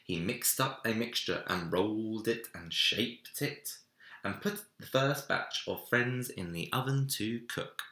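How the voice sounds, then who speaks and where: A man reading a children's story aloud in an expressive narrating style, recorded in an echoey room. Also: fairly low pitch, a little slow. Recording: roomy, echoey indoor sound.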